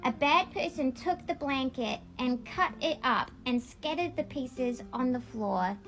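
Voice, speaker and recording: A woman's voice: sits high, measured pace, fairly bright tone, faint music behind, rough recording. A woman reading aloud in a childlike storybook manner, with a casual, homemade read-along style. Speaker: vlogger reading a picture book aloud.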